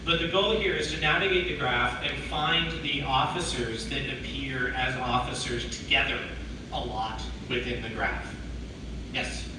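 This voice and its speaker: A man with a cold, hoarse, giving a technical talk to a meetup audience and explaining step by step. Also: mid-range voice, fairly even intonation, a little slow. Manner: explains step by step, many restarts. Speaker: tech meetup presenter. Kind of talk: technical talk answering questions. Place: meeting room with audience. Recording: echoey room, distant mic, background noise.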